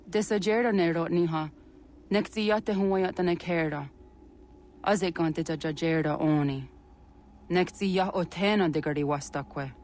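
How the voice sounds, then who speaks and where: A woman's voice: low-pitched, a little mellow, a little hiss or hum, natural voice. A woman playing a grave village elder in a video game scene.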